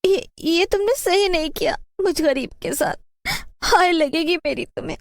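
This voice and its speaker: A woman's voice: extremely high pitch, normal speed, no echo, clean studio sound, pitched above the performer's own voice. A woman voicing a poor, meek young bride with a pleading, earnest manner in a cartoon story. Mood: hurt tearful. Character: poor, meek young food-seller bride, wronged and submissive.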